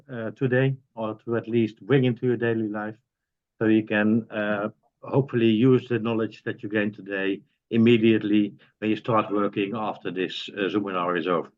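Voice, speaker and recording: A man hosting a webinar over a video call, talking hesitantly with many pauses and restarts. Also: lowish voice. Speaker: webinar host. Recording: dull, lo-fi video call audio.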